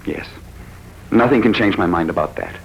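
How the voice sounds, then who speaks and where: An actor playing a smooth-talking, scheming husband in a 1940s radio drama, deliberate and theatrical, charming on the surface and cold underneath. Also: male voice, low voice, lively intonation. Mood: determined. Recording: dull, hissy 1940s radio recording.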